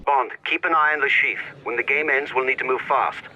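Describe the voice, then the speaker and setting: An actor playing an alert intelligence officer calling a field agent in a video game. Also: male voice, medium pitch, fairly even intonation, fairly quick, sharp tone, highs cut off.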